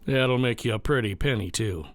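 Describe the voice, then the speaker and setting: Male actor for a proud cattle-ranch boss: gruff, laconic, stubborn, mid-range pitch, radio drama. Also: normal speed, close to an everyday voice. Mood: wry. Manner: blunt, laconic. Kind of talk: audio drama dialogue.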